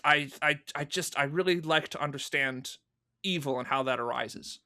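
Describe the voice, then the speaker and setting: A man being interviewed as a guest, explaining his creative choices in a thoughtful, articulate but halting way, stressing key words. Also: a little high, pushed and bright. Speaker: interview guest, audio drama producer and writer.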